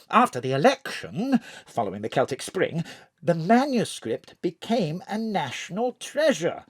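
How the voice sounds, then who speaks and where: An actor playing an excitable, flowery middle-aged government chief in an audio drama, with theatrical, flustered phrasing. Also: male voice, sits high, dry recording, clean studio sound. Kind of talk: audio drama acting over a phone call.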